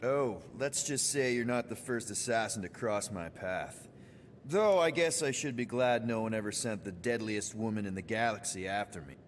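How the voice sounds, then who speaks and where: An actor playing an upbeat, awkward young leader of a space crew in a video game, talking in a hesitant, joking, rambling way. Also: male voice, lowish voice, lively intonation, moderate pace, slight background noise, natural voice. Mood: curious. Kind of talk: naturalistic video game acting.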